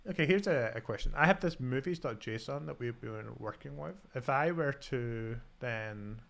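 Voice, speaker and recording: A man's voice: mid-range voice, lively intonation, normal speed, gravelly, a bit bright. A man with a light Scottish accent hosting a live-coding stream, talking through his steps and joking about himself as he types. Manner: self-deprecating, thinks aloud while typing. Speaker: host. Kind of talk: casual live-coding chat.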